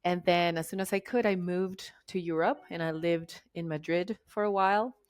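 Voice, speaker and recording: Argentine woman facilitator in her forties, lower register, chatty guest. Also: steady tone, moderate pace. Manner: chatty, jokey and laughing easily. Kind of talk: conversational interview.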